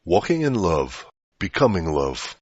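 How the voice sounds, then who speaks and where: A man reading a scripted spiritual teaching aloud in a measured, didactic way. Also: low-pitched, very animated, a little mellow, breathy edge. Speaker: reader of spiritual articles. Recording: dull, roomy lo-fi recording.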